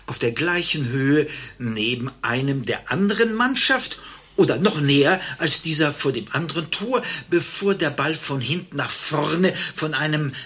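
An actor in a satirical radio play playing an indignant, impatient patient who explains things pedantically and emphatically. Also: male voice, on the high side, lively intonation, normal speed, bright, forceful tone, slightly rough, heavily acted. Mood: pedantic, earnest.

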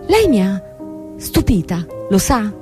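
A proud young company boss fallen into ruin, in a radio play. She is formal, emphatic and reproachful, at a medium pitch, over faint music. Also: very animated, takes it slowly. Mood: reflective. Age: young adult. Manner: formal, emphatic, rhetorical questioning. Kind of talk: theatrical radio drama acting.

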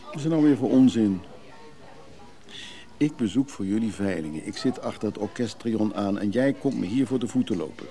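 An actor in a Dutch radio play voicing an evasive, soothing antiques buyer, speaking conversationally and a little hesitantly. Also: male voice, lowish voice, lively intonation, normal speed, mellow, soft background music. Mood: irritated. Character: Freek, evasive, soothing middle-class antiques buyer and brother.

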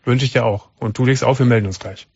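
A man hosting a late-night radio call-in show, calmly asking questions and giving advice. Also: medium pitch, fast talker, gravelly, breathy edge. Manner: calm, probing, interrupts to clarify. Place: radio studio. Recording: dull broadcast radio sound.

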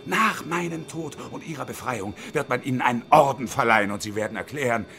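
A man's voice: mid-range voice, brisk, gravelly, sharp tone, a little hiss or hum. An actor in his fifties playing a bitter, sarcastic unemployed engineer turned hostage-taker in a radio drama, domineering and theatrical. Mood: defiant, bitter. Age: 50s.

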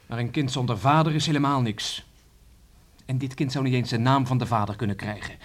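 Radio play: actor as sober, dutiful village man, mid pitch, deliberate and slightly hesitant. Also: lightly acted. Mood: earnest, careful. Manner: deliberate, hesitant, formal third-person address. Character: earnest, dutiful village man offering marriage. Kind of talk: Dutch radio play acting.

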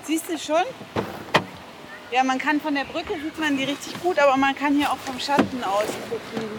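A woman's voice: high-pitched, fairly even intonation, normal speed. A woman chatting casually as a reporter on location. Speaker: reporter following people with a microphone. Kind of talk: casual chat. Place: outdoors in a park. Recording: noisy outdoor location recording.

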